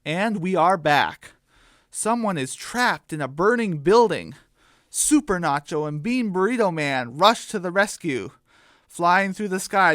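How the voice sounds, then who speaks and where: A man's voice: high-pitched, fairly bright tone, dry recording, clean studio sound. A man reading scripted narration for a comic audio story as its storyteller.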